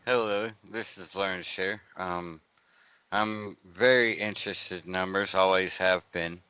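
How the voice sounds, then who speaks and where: A man introducing himself on a video call, soft-spoken and hesitant. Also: low voice, steady tone, takes it slowly, clean tone, no echo, low-quality recording. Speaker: guest.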